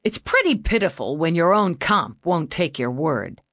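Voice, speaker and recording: A woman's voice: on the low side, very animated, normal speed, fairly bright tone, no room sound. A woman voicing a tough female homicide lieutenant who gives blunt, curt orders. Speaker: police lieutenant.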